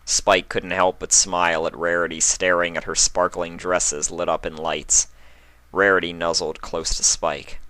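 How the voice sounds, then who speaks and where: A man narrates a scripted story in a dramatic reading style. His voice is on the low side, with a bright, forceful tone. The recording is close and dry, with little room sound. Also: little pitch movement, unhurried, no room sound. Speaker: fan fiction reader, narrator.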